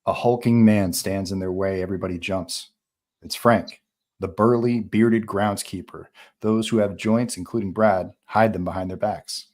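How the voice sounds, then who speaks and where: Low-voiced man narrating a script. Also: fairly even intonation, moderate pace, a little mellow. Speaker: narrator reading stage directions. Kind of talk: scripted narration at a live table read.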